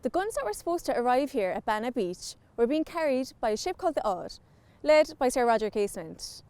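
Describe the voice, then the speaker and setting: A woman's voice: sits high, big pitch swings, a little fast, darkish tone, clean tone, dry recording. A woman reading scripted narration as the narrator of a documentary. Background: background music.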